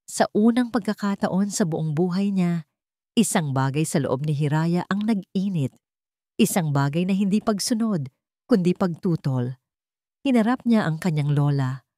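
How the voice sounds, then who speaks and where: Low-pitched woman narrating dramatic fiction. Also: lively intonation, normal speed, dark tone, no room sound, studio quality. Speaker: story narrator. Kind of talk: dramatic scripted romance story narration.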